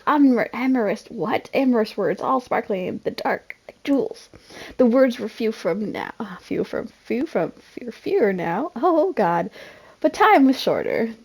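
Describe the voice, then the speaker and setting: A woman's voice: a little high, gravelly, darkish tone, slightly rough. A woman reading a game's story aloud in a dramatic style, often breaking off into casual, self-deprecating comments.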